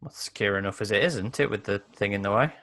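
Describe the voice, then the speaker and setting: A man's voice: lowish voice, fast, pushed and bright. A man playing a tabletop game, bantering casually and weighing in bluntly and decisively.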